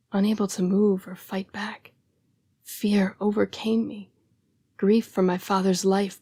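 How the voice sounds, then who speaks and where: A young female vampire, acted by a woman, speaks in a soft, breathy half-whisper with little rise or fall. She is gentle, earnest and apologetic, halting as she fights her bloodlust. The delivery is an intimate, dramatic audio roleplay monologue. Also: mid-range voice. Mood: haunted, sorrowful.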